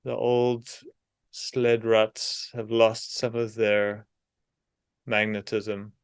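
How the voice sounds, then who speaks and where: A man guides a meditation in a fairly low, smooth voice. His delivery is soothing and very unhurried, placing short phrases between long pauses. The voice is clean and resonant throughout. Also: flat delivery, no echo.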